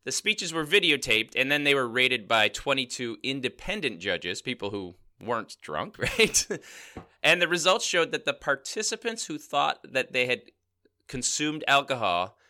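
Bright, lively male podcast co-host. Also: mid-range voice, moderate pace. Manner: lively explainer, laughs often, says "right?". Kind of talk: casual science podcast chat.

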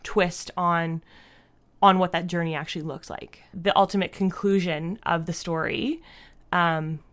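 A woman answering interview questions as a guest in a casual, thoughtful and halting way, often saying "like". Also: fairly low pitch, fairly even intonation, fairly bright tone. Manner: thoughtful, halting, frequent "like" as a verbal habit. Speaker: podcast creator and writer, interview guest.

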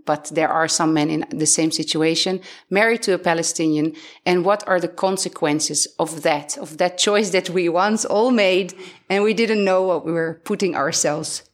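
A woman from the Netherlands hosting a podcast, speaking in a conversational presenting style. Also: fairly low pitch. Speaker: podcast host.

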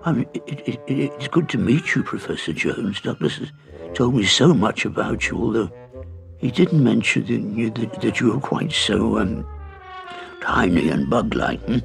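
A man voicing a shy, clever teenage schoolgirl who stammers and rushes with eager excitement. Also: fairly low pitch, gravelly, faint music behind, pitched above the performer's own voice. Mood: flustered. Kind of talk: audiobook character reading.

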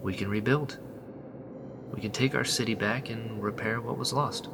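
A man playing an earnest, protective rebel leader in a sci-fi radio drama, speaking reassuringly with breathy pauses. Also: mid-range voice, steady tone, noisy background, natural voice. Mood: somber, determined.

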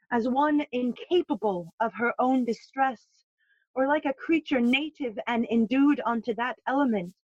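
Sad queen, high voice, real slow n poetic, shakespeare audio play, kinda muffled. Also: no room sound. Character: mournful, lyrical queen bringing word of death.

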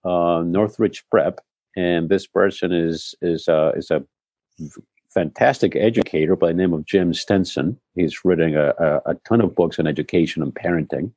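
A low, mellow-voiced middle-aged man from Spain, a native Spanish speaker, telling his story in an easygoing interview. He circles back and restarts phrases as he thinks. The sound is dry and close. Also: normal speed, dry recording. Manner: reflective storytelling with frequent restarts. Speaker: head of school, guest. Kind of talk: conversational interview.

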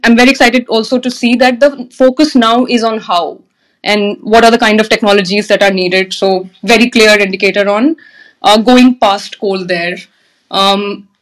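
A woman's voice: on the high side, fairly even intonation, normal speed, rough recording. A woman speaking as an energy expert on a discussion panel, enthusiastic, with frequent fillers and restarts. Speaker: panel guest, energy manager.

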